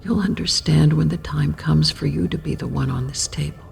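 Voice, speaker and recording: An actress playing a solemn, ageless female keeper of death in a horror audio drama, gentle but resolute, with a gravelly, deliberate delivery. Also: low-pitched, fairly even intonation. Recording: faint music underneath.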